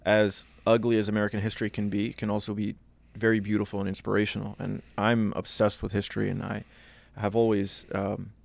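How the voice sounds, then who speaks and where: Gravelly, flat-voiced man asking questions. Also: lowish voice, a little fast, hardly any pauses, dry recording. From Iraq. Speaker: podcast host. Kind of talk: interview questions.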